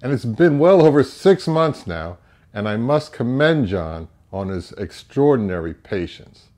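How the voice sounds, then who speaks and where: An American man in his fifties presents a review in an emphatic, opinionated way. His medium-pitched voice moves in lively swings as he puts rhetorical questions and answers them himself. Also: a little slow. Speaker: audio reviewer and host. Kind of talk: semi-scripted product review to camera. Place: small indoor home office.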